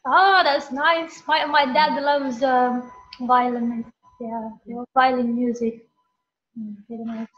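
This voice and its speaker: Woman interviewer, high voice, chatty, rambling, muffled lo-fi sound. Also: steady tone, slow pace, a bit bright, a little hiss or hum. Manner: chatty, rambling questions with fillers. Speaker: interview host. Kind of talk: casual interview chat. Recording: muffled lo-fi call audio.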